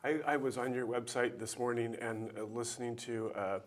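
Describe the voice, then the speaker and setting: A man's voice: mid-range voice, steady tone, moderate pace. A man in his sixties hosting a TV arts interview show, friendly and encouraging with his guest. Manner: friendly, encouraging, many backchannels. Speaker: TV show host. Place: TV studio. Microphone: lapel.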